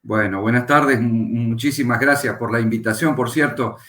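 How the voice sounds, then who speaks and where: A middle-aged Argentine man, a pastor and Bible teacher, calmly telling his life story as a guest in an interview. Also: medium pitch. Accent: Argentine Spanish. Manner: unhurried, reflective, pauses with fillers. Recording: echoey room.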